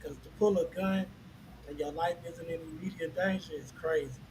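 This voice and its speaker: A man co-hosting a casual podcast, reasoning out his views in a measured way. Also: fairly high pitch, fairly even intonation, noisy background. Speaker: podcast co-host. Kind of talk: casual chat.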